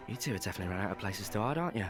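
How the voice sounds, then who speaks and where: A man playing a stern, fed-up middle-aged Victorian circus father in an audio drama, husky and bluntly scolding. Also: lowish voice, lively intonation, rapid-fire, soft background music, natural voice. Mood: angry, scolding.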